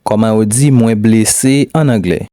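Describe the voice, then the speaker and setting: A man reading questions for a language lesson in a steady, repeating pattern. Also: fairly low pitch, normal speed, dry recording, studio quality. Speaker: language teacher.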